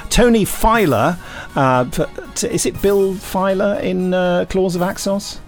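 A man's voice: high voice, a little slow, faint music behind. A man co-hosting a podcast, reading reviews aloud with playful, theatrical jokes. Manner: playful, jokey, theatrical when reading quotes. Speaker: podcast co-host.

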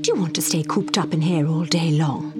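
A woman's voice: low-pitched, a little fast, music in the background, natural voice. An actress playing a determined, persuasive, no-nonsense British woman in an audio drama.

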